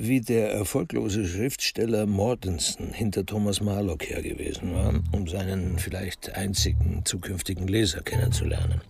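A man with a creaky voice narrating a scripted radio crime drama. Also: fairly low pitch, darkish tone, a little hiss or hum.

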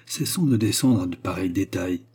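Man playing a gallant, husky young count. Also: deep voice, lively intonation, normal speed, darkish tone, exaggerated voice. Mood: ardent. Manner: formal, earnest. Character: young Russian count, gallant, loyal, ardent gentleman. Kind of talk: dramatic storybook dialogue.

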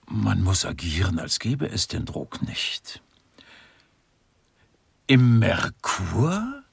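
An actor playing a pompous, touchy intellectual who lectures emphatically in a satirical radio crime drama. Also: male voice, sits low, huge pitch swings, very slow, vocal fry, husky, no echo, full character voice. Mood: exasperated, lofty.

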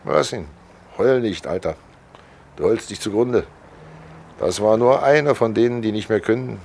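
An actor playing Death as a fat, cynical undertaker with a sardonic, matter-of-fact manner, in a 1940s German radio play. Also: male voice, on the low side, moderate pace, a little mellow, a little hiss or hum, lightly acted. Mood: grimly dismissive. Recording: old 1940s radio recording.

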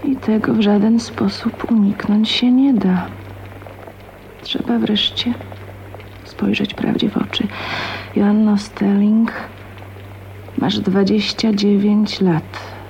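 An actress in a Polish radio drama playing a timid, bitter spinster of twenty-nine, musing to herself in a hushed, resigned manner. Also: female voice, medium pitch, little pitch movement, slow, creaky, long pauses, slight background noise. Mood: resigned, sad. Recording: dull lo-fi sound, music behind.

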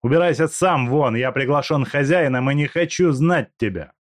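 A man voicing an indignant clerk who protests loudly against being seized. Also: medium pitch, lively intonation, moderate pace, no echo, clean studio sound, voice pushed up, close to an everyday voice. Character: indignant male clerk, outraged and desperate.

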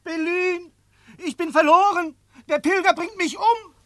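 A narrator putting on the voice of a terrified little hare crying for help. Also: male voice, extremely high pitch, fairly even intonation, a little slow, bright, forceful tone, dry recording, clean studio sound, voice pushed up, heavily acted. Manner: screaming for help.

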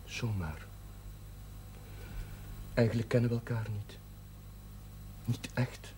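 A man's voice: medium pitch, slow, a little mellow, close to an everyday voice. An actor playing an earnest, touchy chaplain, hesitant and defensive with his sister in naturalistic television drama dialogue. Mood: subdued. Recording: faintly noisy 1970s television soundtrack.

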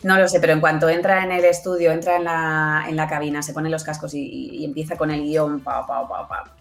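A woman's voice: sits low, level tone, a little fast, vocal fry, soft background music. A woman giving chatty, emphatic answers as an interview guest, repeating herself for stress. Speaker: guest, audio fiction producer.

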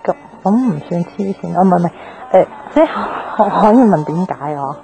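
A woman's voice: fairly low pitch, expressive, a little fast, gravelly. An actress playing a brash, nosy young woman drinking at a bar in a radio drama, cheeky and coaxing with a Hong Kong Cantonese accent. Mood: taken aback. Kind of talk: naturalistic radio drama acting. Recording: music behind the voice.

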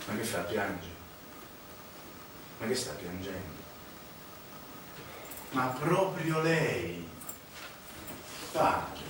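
Cynical priest in an echoey hall. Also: male voice, on the high side. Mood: mocking. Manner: dramatic, sardonic, swinging between hushed and loud. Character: cynical corrupt Vatican priest, menacing and mocking. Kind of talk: theatrical stage monologue. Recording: echoey, distant, noisy stage recording.

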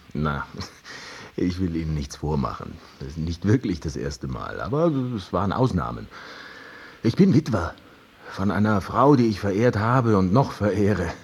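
An older widower in a radio play with a husky voice that swings widely in pitch. He is sarcastic and easily exasperated, giving help only with reluctance. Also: exaggerated voice. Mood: weary, firm. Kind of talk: radio drama acting.

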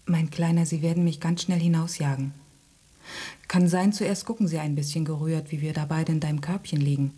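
A gently sceptical, motherly cat in a radio drama. Her voice is dark and husky, slightly low, with a soft, soothing delivery. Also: fairly even intonation, brisk. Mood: tender, wistful. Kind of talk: intimate radio drama acting.

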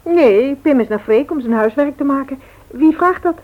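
A woman's voice: high voice, expressive, moderate pace. An actress playing a practical, mild housewife and mother in a radio play. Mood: matter-of-fact. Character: matter-of-fact housewife and mother. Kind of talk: Dutch radio play acting. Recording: thin, narrow old radio recording.

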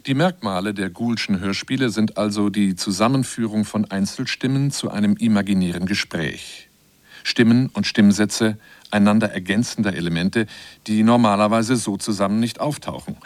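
A man reads scripted narration for a radio feature as its presenter. His voice sits on the low side, and the recording is dry and close, with little room sound. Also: dry recording.

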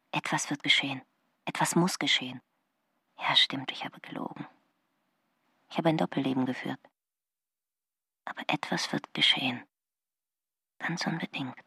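Eine Schauspielerin spielt im Hörspiel eine sehnsüchtige, gequälte Frau, die sich an Hoffnung klammert, in vertraulichem, teils geflüstertem inneren Monolog. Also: female voice, sits low, steady tone, measured pace, creaky, pauses often, sharp tone, slightly rough, no echo, studio quality, full character voice. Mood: fervent hope. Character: yearning, anguished woman clinging to hope. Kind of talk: radio drama acting, inner monologue.

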